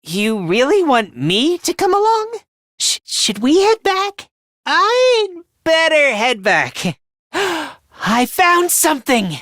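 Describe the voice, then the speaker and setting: A man's voice: very high-pitched, huge pitch swings, slow pace, fairly bright tone, no echo, studio quality, exaggerated voice. A man voicing a nervous, self-loathing teenage schoolboy in a video game, with a stammering, hesitant and defensive delivery. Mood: timid, uneasy. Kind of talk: English video game voice acting.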